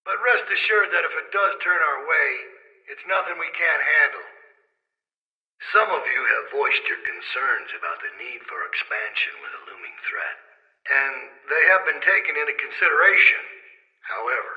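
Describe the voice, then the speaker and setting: An actor playing a commanding community leader, a man making a measured, solemn, oratorical announcement over a loudspeaker in a video game. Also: fairly high pitch, expressive. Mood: firm, measured. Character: commanding male community leader addressing his followers, solemn. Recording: echoing, muffled loudspeaker sound.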